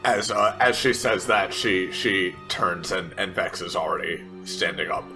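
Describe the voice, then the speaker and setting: Male tabletop player, low and unhurried, halting casual description with clear music underneath. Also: fairly even intonation, bright, forceful tone.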